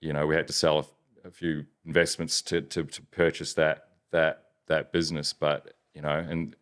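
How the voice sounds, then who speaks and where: A man from New Zealand with a softened Kiwi accent, chatting casually as a business owner in an interview, rambling with many restarts. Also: a bass voice, flat delivery, moderate pace, clean tone. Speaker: business owner, podcast guest.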